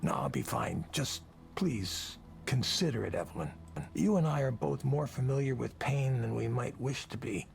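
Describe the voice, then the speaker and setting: An actor playing a weary, drunk, self-loathing male tech executive in a video game, with measured, gravely earnest delivery. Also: medium pitch, lively intonation, a little hiss or hum. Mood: earnest, persuasive. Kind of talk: visual novel voice acting.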